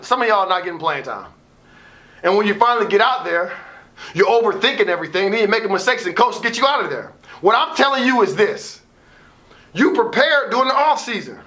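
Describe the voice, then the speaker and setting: Bright, high-voiced American man telling stories. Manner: theatrical, emphatic repetition. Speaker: motivational storyteller and student athlete advisor. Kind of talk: animated personal storytelling with acted-out dialogue and pep talk.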